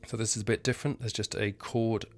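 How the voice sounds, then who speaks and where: A hoarse man hosting a casual piano tutorial at home, talking in a relaxed, halting way and counting beats aloud. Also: lowish voice. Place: at home, indoors. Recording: piano playing behind the voice.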